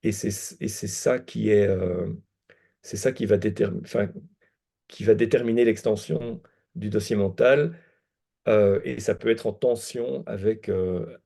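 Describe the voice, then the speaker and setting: A man from Belgium, a guest philosopher, thinking aloud in a measured, hesitant way during an academic discussion. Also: on the low side, vocal fry, dark tone.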